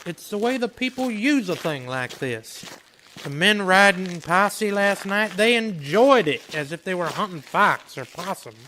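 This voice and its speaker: An actor playing a weary, commanding Old West marshal, terse and authoritative, in a western radio drama. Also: male voice, high-pitched, sharp tone, slight background noise, low-quality recording.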